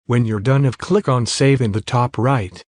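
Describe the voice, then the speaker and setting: A man giving step-by-step software tutorial narration in a plain, instructional manner. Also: mid-range voice, moderate pace, no room sound, clean studio sound. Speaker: tutorial presenter.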